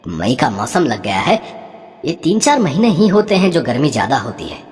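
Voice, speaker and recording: A woman voicing a calm, easygoing middle-aged husband who coaxes his wife to stop penny-pinching. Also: extremely low pitch, moderate pace, big-room sound. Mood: coaxing. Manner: calm, coaxing.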